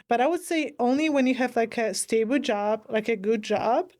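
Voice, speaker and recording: A woman's voice: on the high side, moderate pace, darkish tone. A young woman from Greece, a guest on a podcast, talking casually with many fillers and restarts. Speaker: guest, former athlete.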